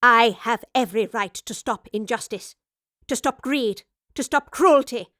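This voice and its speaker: A woman's voice: fairly high pitch, a little slow, fairly bright tone, no room sound, clean studio sound. A woman doing a bold, fiery lady professor. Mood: raging. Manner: crisp, teasing, then impassioned. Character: bold principled woman professor, fierce and wry.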